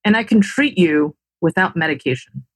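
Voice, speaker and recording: A woman's voice: fairly low pitch, animated, normal speed, breathy edge, dry recording. Persuasive woman with a Jersey accent. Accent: New Jersey. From United States. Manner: enthusiastic, persuasive, frequent "right?" tags. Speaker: guest, tech founder. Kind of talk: interview conversation.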